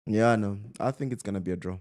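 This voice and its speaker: A man co-hosting a sports podcast, animated and joking. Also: lowish voice, fast. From South Africa. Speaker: co-host. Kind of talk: casual sports chat.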